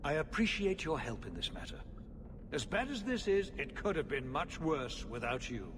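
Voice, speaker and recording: A harried middle-aged nobleman who governs a city in a fantasy game. His fairly high voice swings widely, formal and exasperated, over noisy ambience. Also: big pitch swings, moderate pace. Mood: weary, troubled. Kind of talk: dramatic video game acting. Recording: loud ambient noise behind.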